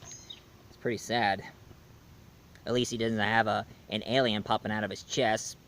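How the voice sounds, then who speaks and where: A man's voice: fairly low pitch, level tone, a little slow, a bit bright, slight background noise. A man giving a casual spoken film review, chatty and jokey as he retells the plot.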